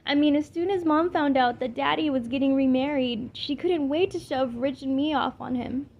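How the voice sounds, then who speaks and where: A woman acts out a sullen teenage stepsister from a storybook, bitter and fussy. Her voice is on the high side and whiny, with clear noise on the homemade recording. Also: moderate pace, lo-fi sound. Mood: bitter, hurt. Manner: whining, complaining. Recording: clearly noisy amateur home recording.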